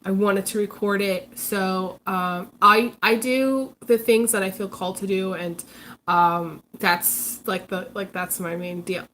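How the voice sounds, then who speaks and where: A woman's voice: mid-range voice, fairly even intonation, a little slow, a bit bright, a little hiss or hum. A congested woman hosting a casual live stream as a psychic reader, talking hesitantly with many ums and restarts. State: congested, recovering from illness. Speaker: psychic reader, channel host.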